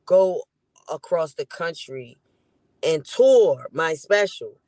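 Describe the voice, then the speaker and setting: A woman's voice: low voice, animated, slow pace, mellow, no echo. A woman in her forties, a comedian, chatting casually and playfully on a video call. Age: 40s. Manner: laid-back, playful, trailing off. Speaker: comedian guest. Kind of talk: casual chat.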